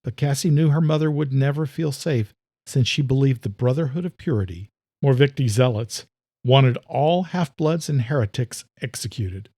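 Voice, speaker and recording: Mellow male audiobook narrator. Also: medium pitch, no room sound, studio quality. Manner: measured, steady storytelling. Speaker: narrator and author.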